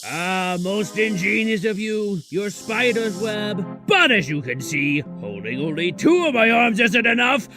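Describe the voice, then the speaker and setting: A comic-book mad scientist drunk on power. His high, gravelly voice swings dramatically between menace and shouted boasts. Prominent music plays behind him. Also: sing-song, a little slow, few pauses, exaggerated voice. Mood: gloating. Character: megalomaniac mad-scientist supervillain with mechanical arms. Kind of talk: amateur comic dub acting.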